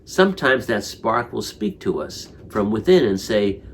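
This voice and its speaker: A man with a fairly low voice reads a spiritual commentary aloud. His delivery is measured and reflective, taking each phrase with care. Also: sing-song, slight background noise. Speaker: reader of Torah commentary. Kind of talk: reading a spiritual text aloud.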